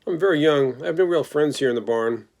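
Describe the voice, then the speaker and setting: A man's voice: fairly high pitch, quick pace, gravelly, darkish tone, voice pushed up. A man voicing a lonely young male pig who pleads and whines for a friend, in a storybook read-aloud. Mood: gloomy. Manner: pleading, tearful, rambling.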